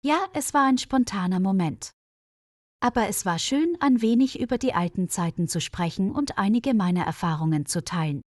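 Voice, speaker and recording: Woman voicing an earnest, calm male nurse. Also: mid-range voice, normal speed, no echo, clean studio sound, lightly acted. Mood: friendly, sincere. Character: dedicated, modest, experienced male nurse.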